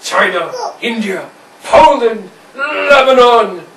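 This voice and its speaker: A man's voice: very high voice, animated, slow, a bit bright, far from the mic, full character voice. A man voicing a pushy pig shopkeeper with a chuckling salesman's patter, in an amateur home puppet show. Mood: scheming. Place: indoor room with a puppet stage. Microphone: far camera. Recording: roomy noisy home video from afar.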